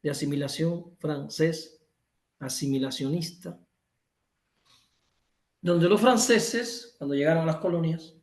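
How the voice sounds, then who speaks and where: A man's voice: on the high side, measured pace, long pauses, a little mellow, roomy sound. A man from Cuba with a Cuban accent, giving an emphatic online lecture over a video call, restating his points and correcting himself often. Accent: Cuban Spanish.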